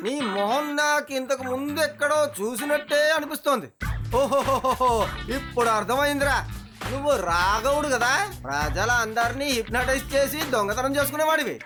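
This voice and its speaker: A man's voice: very high voice, normal speed, over music. A man voicing a bossy, blustering police officer in a dubbed children's cartoon, exclaiming and scolding. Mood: gloating recognition.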